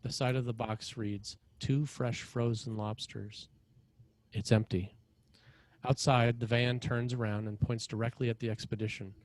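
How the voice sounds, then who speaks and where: A man's voice: lowish voice, darkish tone, no room sound. A man reads scripted scene directions as the narrator of a screenplay table read.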